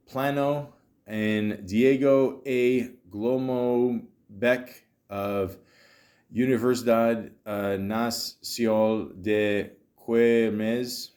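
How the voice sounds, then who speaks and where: A man hosting a casual comedic podcast, rambling and halting, with profane, sardonic asides. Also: mid-range voice, fairly even intonation, a little mellow. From Canada. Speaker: podcast host.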